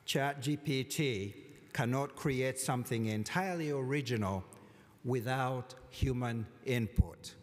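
A man giving a formal commencement address from a lectern, measured and deliberate with touches of dry humor. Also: medium pitch. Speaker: college commencement speaker. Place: large hall at a lectern, indoors. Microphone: lectern microphone. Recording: long hall reverb.

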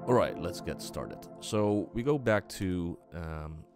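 A man presenting a casual music software tutorial, explaining step by step in an unscripted, halting way. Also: low voice, lively intonation.

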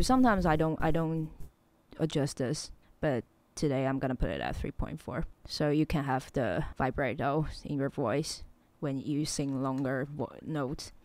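Chatty young woman, low voice, faint music. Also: a little mellow, dry recording. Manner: chatty and self-deprecating, laughs at herself. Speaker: music producer hosting a tutorial stream. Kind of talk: casual tutorial talk. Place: small home studio with neon lights. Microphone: studio mic on a boom arm close to her mouth.

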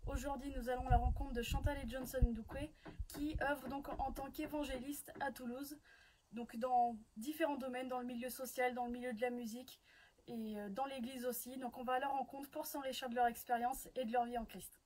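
A woman's voice: high-pitched, fairly even intonation, brisk, darkish tone, low-quality recording. A woman host giving a scripted welcome to a video episode, standing outdoors by a house. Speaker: show host.

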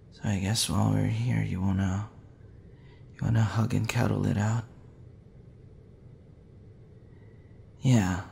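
A man playing a tipsy, smitten young man in an intimate ASMR roleplay, shy, tender and halting in a hesitant murmur. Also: fairly low pitch, vocal fry, a little mellow, a little hiss or hum, voice pushed down. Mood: affectionate, sleepy.

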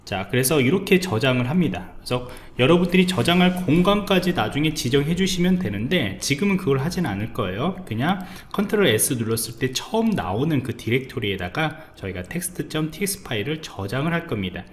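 A man teaching a programming lesson over a screen recording, explaining step by step and often pausing with fillers. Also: medium pitch, sing-song, a little hiss or hum. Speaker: programming instructor. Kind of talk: screencast coding tutorial.

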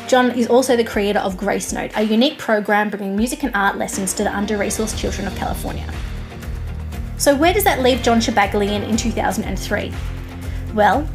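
A woman with an Australian accent, hosting a podcast interview in a chatty, enthusiastic way. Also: on the high side. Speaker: podcast host.

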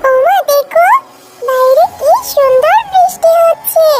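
A woman's voice: extremely high pitch, a little slow, a little mellow, pitched above the performer's own voice. A woman voicing an eager, chirpy little fox girl in a children's cartoon story. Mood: excited. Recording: music behind the voice.

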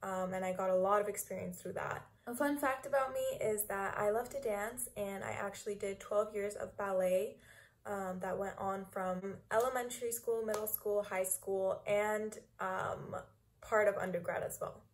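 A woman's voice: medium pitch, steady tone, moderate pace. A young woman from Los Angeles in her twenties, a dental student answering interview questions to a laptop camera in a small room, chatty with many ums and likes. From Los Angeles, California. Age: 20s.

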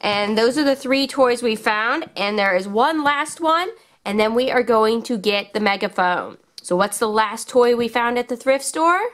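A woman's voice: a little high, normal speed, fairly bright tone. A woman hosting a casual toy showcase for children, speaking enthusiastically in simple phrases. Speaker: children's toy channel host.

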